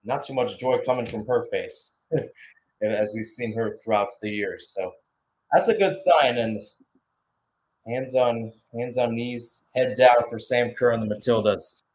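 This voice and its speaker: A man's voice: mid-range voice, measured pace, darkish tone, poor audio. A man giving unscripted live play-by-play football commentary in a conversational, analytical way.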